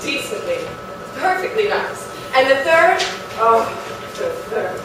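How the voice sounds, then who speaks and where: A woman's voice: high-pitched, big pitch swings, slow pace, exaggerated voice. A woman acting a dreamy, affected undertaker's wife in a staged play reading, savouring each phrase theatrically. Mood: gushing, dreamy. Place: live reading in an echoey performance room. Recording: roomy, distant, noisy live theatre recording.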